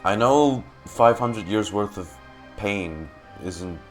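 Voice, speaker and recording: A man streaming casual game reaction commentary, excitable and often repeating his words. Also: on the low side, slow, faint music behind.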